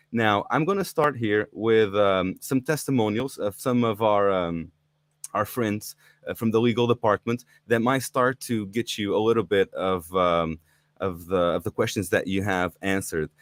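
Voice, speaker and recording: Low-voiced eager male livestream host. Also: no echo, studio quality. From Portugal. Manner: eager, inviting, with frequent fillers and restarts. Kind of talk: live online talk to viewers. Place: indoors in Portugal.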